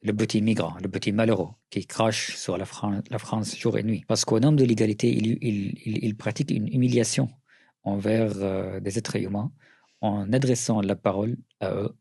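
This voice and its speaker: A middle-aged man from Syria with a Syrian accent, answering interview questions earnestly, often pausing and restarting. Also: fairly low pitch, fairly even intonation, creaky, warm tone, slightly rough, dry recording, studio quality. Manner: earnest, with frequent hesitations and restarts. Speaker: writer and journalist, interview guest.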